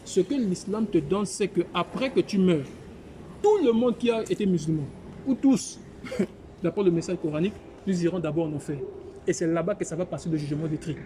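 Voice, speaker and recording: A man evangelizing on a city street, asking calm, probing questions. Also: high-pitched, brisk. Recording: noisy street with passing traffic.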